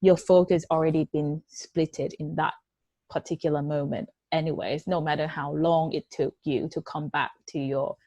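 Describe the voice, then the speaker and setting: Low-voiced woman entrepreneur. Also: flat delivery, moderate pace, warm tone, dry recording, dull audio. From Thailand. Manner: conversational, non-native English with fillers. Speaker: entrepreneur guest. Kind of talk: interview answers.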